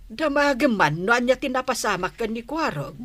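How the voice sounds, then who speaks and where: A woman's voice: on the high side, animated, moderate pace, fairly bright tone, no echo. A woman acting as a concerned, nagging wife and mother in a radio comedy drama, with an Ilocano accent and a scolding, exclamatory delivery.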